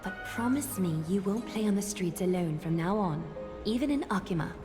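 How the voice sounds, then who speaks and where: A woman's voice: mid-range voice, lively intonation, moderate pace, natural voice. A woman voicing a solemn, guarded noble lady in a video game, speaking in a formal, composed way. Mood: guarded, advising. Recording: loud music under the voice.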